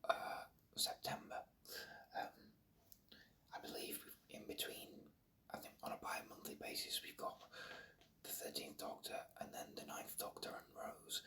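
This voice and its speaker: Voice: pushed and bright, far from the mic. A reviewer whispering an ASMR video review in a hesitant, rambling, stop-start way.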